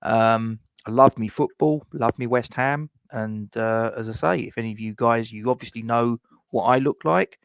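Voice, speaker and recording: A middle-aged man chats casually on a live stream, reading out viewer comments. His mid-range voice has a gravelly, fry-laden texture. He rambles, fills pauses with "um", and restarts his sentences. The sound is dry and close, with little room echo. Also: medium pitch. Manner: rambling, chatty, many fillers and restarts.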